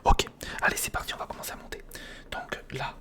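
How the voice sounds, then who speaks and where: An ASMR creator speaking in a breathy, unvoiced whisper, casually thinking aloud and repeating words, close to the microphone. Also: fairly quick. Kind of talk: ASMR, whispered build-along commentary. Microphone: close recorder microphone.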